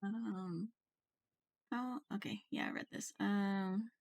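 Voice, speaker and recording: A woman's voice: mid-range voice, slow, vocal fry, darkish tone. A woman streamer reading video game dialogue aloud expressively, with casual offhand remarks. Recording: music behind the voice. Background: video game music.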